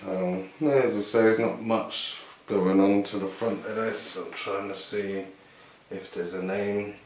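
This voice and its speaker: Man, deep voice, slow unhurried delivery, casual video host, hesitant and unscripted. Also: fairly even intonation, darkish tone, slight background noise. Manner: hesitant, tentative, often guessing aloud. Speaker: video host showing vintage items. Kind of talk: casual unscripted show-and-tell. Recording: echoey room.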